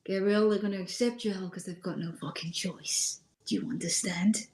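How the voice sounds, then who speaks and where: A man's voice: sits high, steady tone, moderate pace, a little mellow. A woman voicing a blunt, bossy adult man who swears casually and keeps others in line during a tabletop game. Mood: warning. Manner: curt, dismissive, swears casually. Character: gruff adult male tough, bossy and blunt. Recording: roomy echo.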